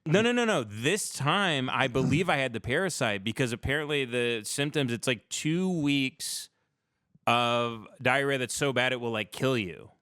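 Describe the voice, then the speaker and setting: A man's voice: mid-range voice, animated, normal speed, a bit bright, smooth, no echo, studio quality. A man hosting a livestream in a casual comedic chat, rambling through irreverent, profane stories. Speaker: livestream show host.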